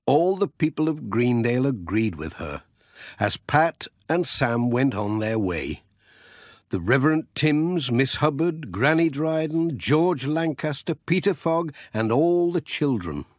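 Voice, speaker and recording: A man's voice: medium pitch, lively intonation, measured pace, no echo, clean studio sound. A man narrating a children's audiobook story.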